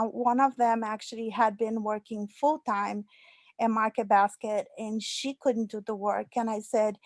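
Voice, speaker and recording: A woman who teaches high school history, telling a classroom story on an online panel, with fillers. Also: darkish tone, dry recording.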